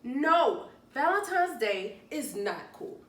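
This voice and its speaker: A woman voicing an earnest, easily worried young male cat in an expressive children's storybook read-aloud. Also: high voice, takes it slowly, voice pushed up, full character voice. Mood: discouraged. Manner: exclamatory, expressive. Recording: roomy, distant mic, faint noise.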